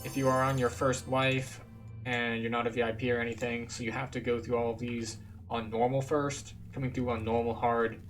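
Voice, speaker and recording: Male gamer, mid-pitched, casual explanatory walkthrough, music behind. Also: level tone, moderate pace. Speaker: gamer, tour guide. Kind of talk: casual game walkthrough commentary.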